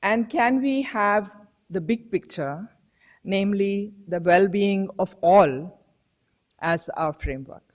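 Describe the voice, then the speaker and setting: Female academic lecturer, slow deliberate reflective delivery, rhetorical questions. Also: mid-range voice. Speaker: professor giving a public lecture. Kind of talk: public lecture. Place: lecture hall.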